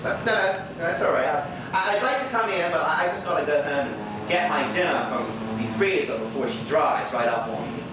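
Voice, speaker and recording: In a very echoey, noisy stage recording comes a man playing a lonely old Bermudian widower. He gossips in a rambling, folksy way to an unseen neighbour, with a high-pitched voice for a man, as in a one-man play. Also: normal speed, hardly any pauses, close to an everyday voice. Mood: friendly, jovial. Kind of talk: stage monologue, colloquial storytelling to an unseen neighbour. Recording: roomy, noisy, muffled distant stage recording.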